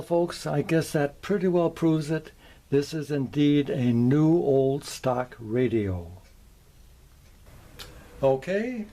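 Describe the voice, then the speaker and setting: A man with a fairly high, mellow voice narrates a show-and-tell video as its presenter. He speaks in careful, short phrases with a folksy touch. His delivery is slightly theatrical, with a wry sense of humour. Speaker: presenter, vintage radio collector.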